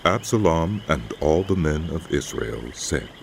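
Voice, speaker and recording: A man giving solemn, measured dramatic narration of scripture as a scripted audio production. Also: a bass voice, expressive, creaky, a little hiss or hum.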